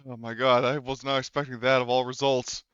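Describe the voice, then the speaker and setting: Smooth-voiced man running a tabletop game. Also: medium pitch, level tone, brisk, fairly bright tone, no room sound, muffled recording. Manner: hesitant, thinking aloud while working out rules. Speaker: game master. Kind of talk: running a tabletop roleplaying game, improvised narration.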